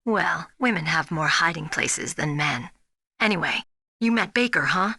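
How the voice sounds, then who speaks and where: An actress playing a spirited, earnest young rookie soldier in a video game, speaking in a frank, expressive way. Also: female voice, low-pitched, measured pace, creaky, slightly rough, dry recording, clean studio sound, exaggerated voice. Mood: confiding, frank. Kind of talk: dramatic video game voice acting.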